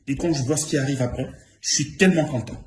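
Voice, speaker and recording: A man's voice: medium pitch, fast talker, darkish tone. A man in his fifties giving an informal, emphatic spoken testimonial, repeating himself for stress. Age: 50s. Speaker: former trainee giving testimonial. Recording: roomy echo, faint noise and clicks.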